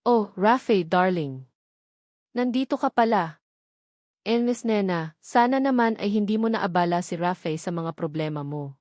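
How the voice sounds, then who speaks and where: A woman's voice: mid-range voice, animated, unhurried, pauses often, darkish tone, dry recording, clean studio sound, lightly acted. Woman narrator voicing a scheming, sweetly spiteful female rival. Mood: taunting. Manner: feigned concern, taunting. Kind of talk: storybook romance narration.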